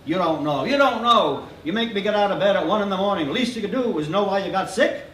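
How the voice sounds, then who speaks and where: A man's voice: sits high, animated, quick pace, a bit bright, slight background noise, voice pushed up. A man voicing a brusque, teasing male doctor who fires off mock-grumpy jokes in a storybook reading. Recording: roomy distant live-reading sound.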